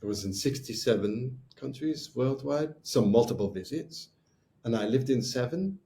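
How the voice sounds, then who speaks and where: A man's voice: mid-range voice, lively intonation, normal speed, warm tone. A man who is a guest co-host of a wine retreat, chatting casually, agreeing often and laughing easily. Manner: brief affirming interjections, laughs easily. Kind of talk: casual chat.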